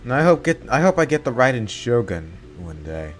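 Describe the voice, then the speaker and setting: A man giving casual, jokey commentary over a game, easily excited. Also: medium pitch, normal speed, soft background music. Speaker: gaming content creator.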